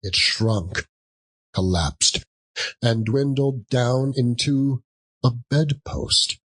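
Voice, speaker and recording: A man narrating an audiobook as a theatrical storyteller, with a measured, dramatic delivery. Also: medium pitch, fairly bright tone.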